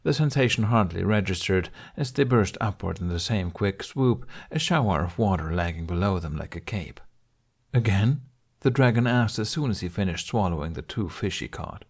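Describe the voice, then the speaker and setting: A man's voice: deep voice, lively intonation, a little fast, few pauses. A man reading a scripted story aloud as an audiobook narrator.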